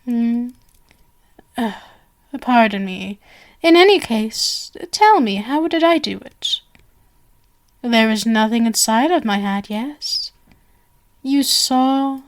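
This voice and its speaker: A woman playing a genial but sinister stage magician in an ASMR roleplay, with flowery, theatrical, coaxing speech. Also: a little high. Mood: wistful, yearning.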